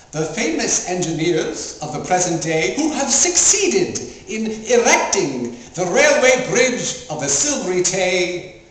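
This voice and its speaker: A man performing a theatrical poetry recital in a grandiose, declamatory, mock-solemn manner. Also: sits high. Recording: very echoey, distant mic, faint noise.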